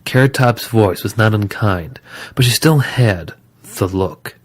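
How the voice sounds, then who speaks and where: A man's voice: lowish voice, unhurried. A man reading scripted story narration as the narrator of an audio drama.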